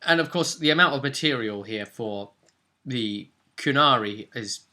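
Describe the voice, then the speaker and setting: A man with a bright, forward voice talks as a game master recapping a session. He is chatty and rambling, often tagging questions onto his sentences to get agreement. His pitch sits in a middle male range. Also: animated, moderate pace. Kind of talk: casual recap of a tabletop role-play session.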